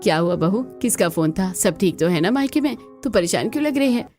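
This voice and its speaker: A woman voicing a warm, approving mother-in-law in a family cartoon, with an affectionate, coaxing manner. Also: medium pitch, animated, fairly quick, soft background music, close to an everyday voice. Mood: concerned. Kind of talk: Hindi family cartoon voice acting.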